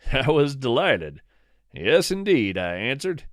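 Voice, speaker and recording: A man narrating a scripted first-person story as a podcast host, in a whimsical, deliberate and dryly playful manner. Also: mid-range voice, very animated, fairly bright tone, dry recording, studio quality.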